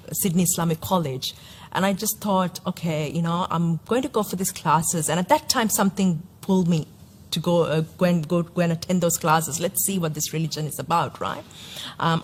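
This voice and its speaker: Low-voiced woman from Sri Lanka. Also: slight background noise. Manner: hesitant, many fillers and restarts. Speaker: interviewee. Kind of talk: personal testimony interview.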